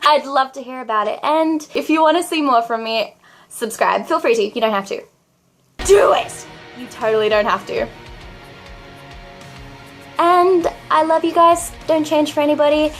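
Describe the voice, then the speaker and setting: A young woman, probably in her teens, talking to camera in a confessional vlog, with a high, girlish voice and a dramatic, self-mocking manner. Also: moderate pace, long pauses, faint music behind. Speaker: vlogger.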